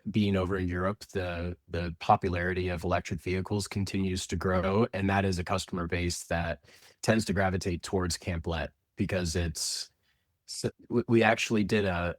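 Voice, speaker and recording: A man's voice: low voice, no room sound, clean studio sound. A man from Indianapolis, answering interview questions about his family business in an explanatory way with frequent restarts. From Indianapolis, USA. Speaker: company representative.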